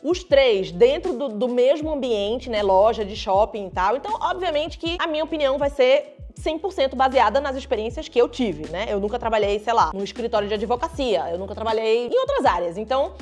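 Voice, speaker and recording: A woman's voice: on the high side, expressive, brisk, few pauses, darkish tone, soft background music. A woman from Brazil, speaking with a Brazilian Portuguese accent that leans toward European Portuguese. She talks casually to camera, saying "né" often and restarting phrases. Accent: Brazilian Portuguese, partly shifted toward European Portuguese. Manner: chatty, frequent "né" and restarts, emphatic rises. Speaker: vlogger.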